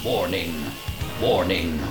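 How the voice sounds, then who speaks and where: An automated vehicle alert in a sci-fi audio drama. The voice is low and formal, announcing officially through a roomy echo over clear music. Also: male voice, slow. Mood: impersonal. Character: automated male warning voice of an extracted vehicle unit. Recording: echoing device voice with music behind.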